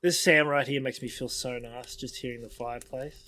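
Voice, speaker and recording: A man's voice: medium pitch, steady tone, brisk, sharp tone, a little hiss or hum. A young man co-hosting a podcast, tired and rambling as he vents in casual chat. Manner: rambling, exasperated venting. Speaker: podcast co-host.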